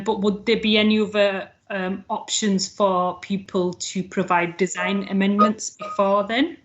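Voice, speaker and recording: A woman chairing an online council meeting, reading out questions in a measured way with some fillers. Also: medium pitch. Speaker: panel chair. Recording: dull lo-fi video call audio.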